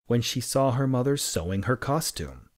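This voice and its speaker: A man reading a children's story aloud with expressive, deliberate and dramatic phrasing. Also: mid-range voice. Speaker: storybook reader.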